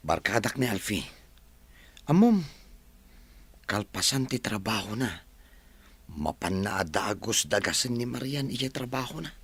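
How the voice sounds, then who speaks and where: An actor with a Filipino accent playing a friendly, curious young buddy in a radio drama. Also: male voice, fairly low pitch, very animated, unhurried, long pauses, a bit bright, breathy edge, no room sound, heavily acted. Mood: admiring.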